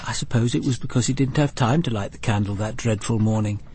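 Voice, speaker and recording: A man's voice: mid-range voice, fast, darkish tone, a little hiss or hum, close to an everyday voice. A man voicing a fussy, chatty, well-meaning churchgoing woman in a dramatised story. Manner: bustling, chatty.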